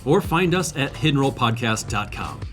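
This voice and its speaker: A man co-hosting a podcast opens the show with a scripted, announcer-style read. His pitch swings widely as he speaks. Soft music plays underneath. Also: sing-song, moderate pace. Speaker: podcast co-host. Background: faint music.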